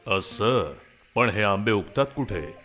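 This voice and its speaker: A man's voice: lowish voice, expressive, takes it slowly, fairly bright tone, faint music behind. A man playing an authoritative human king in a children's cartoon story, regal and commanding. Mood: inquiring, commanding.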